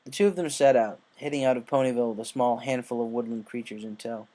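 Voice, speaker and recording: A man's voice: mid-range voice, steady tone, fast talker, dark tone. Male narrator, mid-range voice, reading scripted fan fiction. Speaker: audiobook narrator.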